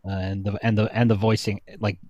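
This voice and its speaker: A man's voice: lowish voice, flat delivery, a little fast, warm tone. An American man in his thirties co-hosting a casual chat, explaining things in an easygoing way. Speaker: podcast co-host.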